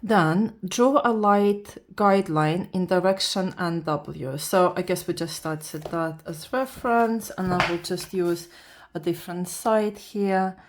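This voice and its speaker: Woman, Russian accent, first language Russian, born in Latvia. Just woken up, a touch low-pitched; chatty, halting drawing tutorial full of restarts. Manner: halting, with restarts and fillers, reading instructions aloud. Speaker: beginner art vlogger.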